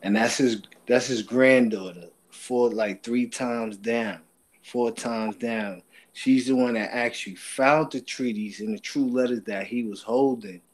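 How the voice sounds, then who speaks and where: A man's voice: medium pitch, steady tone, measured pace. Insistent, emphatic man in a heated debate. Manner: insistent, emphatic, repeats himself. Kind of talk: heated informal group debate.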